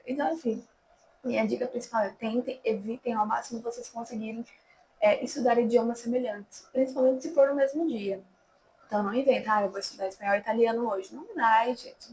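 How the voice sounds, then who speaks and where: A woman's voice: a little high, a little hiss or hum, rough recording. A young woman vlogger giving casual, chatty language-study advice straight to her viewers. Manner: chatty, lively, addresses viewers as gente. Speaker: language-learning YouTuber. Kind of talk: casual talking-to-camera advice.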